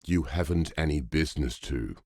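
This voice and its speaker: A man playing a firm gentleman in an audiobook, admonishing with an air of friendly superiority and old-fashioned clubman phrasing. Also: a bass voice, moderate pace, no echo, clean studio sound. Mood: stern.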